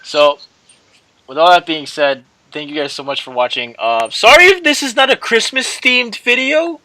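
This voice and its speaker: A man's voice: sits high, normal speed, sharp tone, dry recording. A young man hosting a gaming channel, chatting casually in a rambling, hesitant way that often repeats and trails off. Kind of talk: casual rambling video outro.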